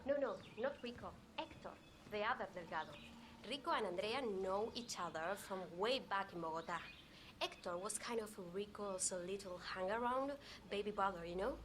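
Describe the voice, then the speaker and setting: A woman's voice: mid-range voice, normal speed, lightly acted. Woman playing chatty gossip in noisy surroundings. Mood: gossipy. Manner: eager, gossipy, casual. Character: chatty, gossipy female local. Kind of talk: video game acting.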